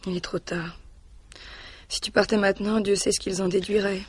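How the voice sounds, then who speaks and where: A husky-voiced, anxious lover of a suspect, played by an actress in a radio mystery. She speaks at a middle pitch, intimately, with pleading and reproach. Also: little pitch movement, moderate pace, lightly acted. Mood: anxious, subdued. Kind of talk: French radio mystery drama acting.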